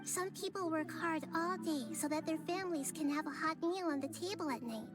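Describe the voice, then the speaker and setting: A woman voicing a young-sounding goddess in a game, speaking softly, calmly and thoughtfully, wise beyond her years. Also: extremely high pitch, moderate pace. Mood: earnest. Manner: soft, calm and thoughtful speech. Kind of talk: game cutscene voice acting. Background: game music.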